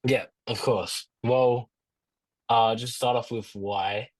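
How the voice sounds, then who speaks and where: A young man answering questions as a prospective client, in a hesitant, halting and understated way. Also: medium pitch, steady tone, no room sound. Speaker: prospective client on a sales call.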